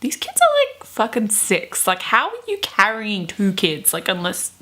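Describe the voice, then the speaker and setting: A woman's voice: medium pitch, wildly swooping pitch, unhurried, pushed and bright. A woman, a chatty, wry book vlogger mixing casual talk with dramatic readings. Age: 20s. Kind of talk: casual chat mixed with dramatic readings of fan fiction. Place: small bedroom, indoors.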